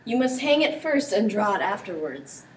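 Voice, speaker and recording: A woman's voice: mid-range voice, big pitch swings, normal speed, lightly acted. A teenage girl playing a young, credulous count in an amateur Shakespeare performance. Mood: teasing. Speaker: student performer. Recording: distant mic with background noise.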